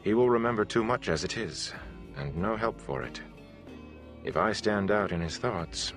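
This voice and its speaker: A man voicing a composed, commanding sorceress in a fantasy audiobook, speaking in a quiet, controlled, formal way. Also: fairly low pitch, expressive, normal speed, faint music behind, pitched above the performer's own voice, natural voice. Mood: quietly firm. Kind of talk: audiobook character acting.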